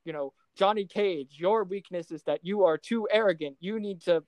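A podcast co-host talking casually about films in an animated, exasperated way, with some mild swearing. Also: mid-range voice, normal speed, dry recording, rough recording. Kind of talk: casual film discussion.

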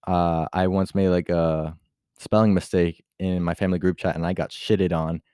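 A man's voice: low voice, flat delivery, normal speed, dark tone, clean tone, no echo, clean studio sound. A young man from Puerto Rico talking casually on his podcast in a rambling way, full of "like" and restarts, switching at times into Spanish. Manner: rambling, heavy use of filler "like", many restarts; switches into Spanish mid-talk. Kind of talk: casual personal monologue.

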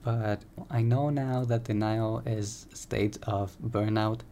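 Young guy streamer rambling into his home mic, soothing but gravelly, faint hiss in the background. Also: fairly low pitch, fairly even intonation, slow, warm tone. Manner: rambling, self-correcting, candid. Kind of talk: casual personal podcast monologue. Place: home room.

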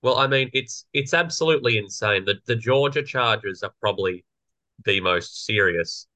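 A young man with a slightly croaky voice, co-hosting a casual political podcast and explaining legal points. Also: lowish voice, lively intonation, normal speed, pushed and bright, dry recording. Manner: explanatory, lawyerly. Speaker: podcast co-host.

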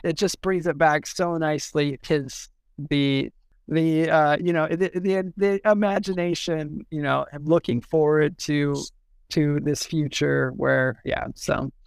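Male poetry podcaster: raised pitch, heavy vocal fry, casual delivery that circles and repeats itself. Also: fairly even intonation, no echo. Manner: halting, rambling, repeats words as thoughts form. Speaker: poetry editor discussing poems on a podcast. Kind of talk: casual discussion with a short read-out.

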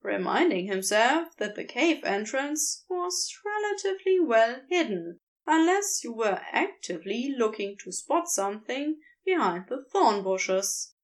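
A woman reading fan fiction aloud as an audiobook in a measured, expressive storytelling manner. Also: on the high side. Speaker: podfic reader. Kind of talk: fanfiction audiobook narration.

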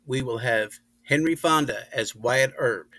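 A man presents a podcast in scripted narration. His medium-pitched voice is close on the mic in a dry space. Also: measured pace, sharp tone, no echo. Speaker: podcast host.

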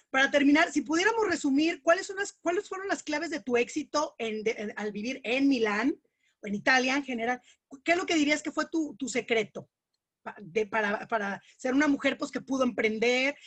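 A woman hosts a podcast interview in a breathy, high voice. She listens closely and sums up her guest's points. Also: fairly bright tone. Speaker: podcast host. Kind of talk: interview host.

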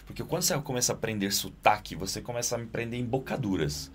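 Animated actor, low voice. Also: male voice, fast talker, husky. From Naples, Italy. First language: Italian. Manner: animated, rises in pitch when excited. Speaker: actor guest. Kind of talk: interview conversation.

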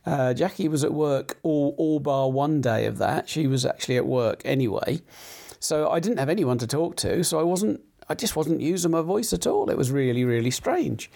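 Croaky man chatting casually. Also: fairly high pitch, animated, normal speed, a little mellow. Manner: rambling, hesitant with many fillers and restarts. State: croaky throat. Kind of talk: casual chat.

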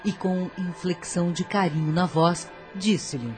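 A woman narrator of a scripted radio drama, husky and dramatic, telling the story with a moralizing turn. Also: lowish voice, fairly even intonation, measured pace, darkish tone, soft background music.

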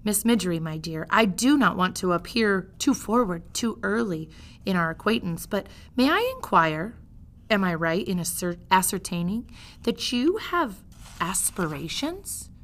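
A woman reading a storybook as a suave male rat who flatters with formal, flowery politeness. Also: medium pitch, measured pace. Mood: coaxing, persuasive.

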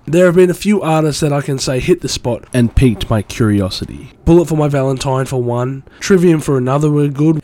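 A man's voice: medium pitch, darkish tone, no echo. A man with a cold hosting his own music review podcast, talking in an enthusiastic, rambling way. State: has a cold. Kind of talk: solo podcast commentary.

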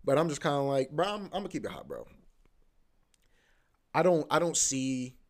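Young male podcast host, rambling and profane, filler-heavy, mid-pitched. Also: very animated, normal speed. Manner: loose and profane, heavy filler like "bro" and "you know what I mean"; repeats himself, often restarts. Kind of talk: casual rambling monologue.

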